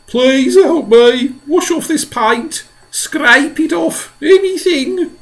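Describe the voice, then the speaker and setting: A man voicing a frantic, boastful male centipede with a shrill, strained squeal in a storybook reading. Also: slow pace, creaky, exaggerated voice. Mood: pleading. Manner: whining, panicky complaints.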